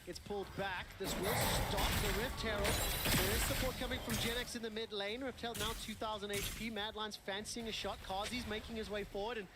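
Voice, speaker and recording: An esports caster calling plays quickly and energetically. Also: mid-range voice, steady tone, very noisy. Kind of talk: esports play-by-play commentary.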